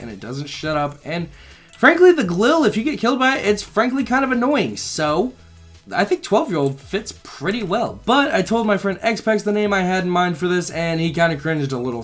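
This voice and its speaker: A slightly hoarse young man rambling through casual gameplay commentary, swearing freely, his mic held close to his mouth. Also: a little high. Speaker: gaming channel host.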